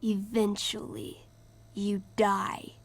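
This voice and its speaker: A woman's voice: mid-range voice, little pitch movement, drawn-out words, vocal fry, exaggerated voice. A woman playing a young game master in a video game, who tells tabletop fantasy stories and chats casually. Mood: dramatic, grim.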